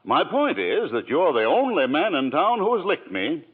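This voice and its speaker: A scheming old banker. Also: male voice, a little high, sing-song, moderate pace. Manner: smooth, condescending, persuasive. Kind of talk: 1940s radio acting. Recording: tinny old radio broadcast.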